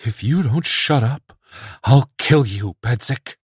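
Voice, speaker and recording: A man voicing a pathetic, desperate male castaway who talks in a whiny, ingratiating tone and breaks into shrill screams. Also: lively intonation, slow pace, breathy edge, dry recording, studio quality, heavily acted. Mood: menacing. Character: pathetic male spaceship castaway, whining then threatening.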